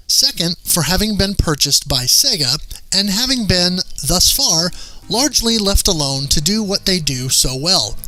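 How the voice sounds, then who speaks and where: A man hosting a video game review as scripted narration, delivered in a measured, theatrical dramatic-reading manner. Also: on the high side, sharp tone, low-quality recording.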